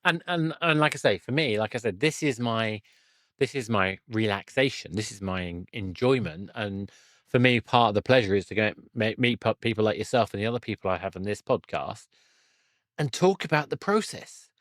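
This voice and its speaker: A man's voice: fairly low pitch, expressive, moderate pace, fairly bright tone, dry recording, studio quality. A man hosting a casual interview podcast, speaking conversationally with a stumbling, self-correcting delivery.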